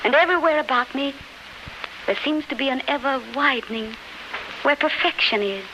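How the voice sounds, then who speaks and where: Through clear hiss and crackle of a 1930s radio play comes the spirit of a beloved woman. Her high voice is tender and rapturous, speaking in lyrical, fervent, theatrical phrases. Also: moderate pace. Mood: gentle consoling. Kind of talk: 1930s radio drama acting. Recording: noisy, scratchy 1939 radio recording.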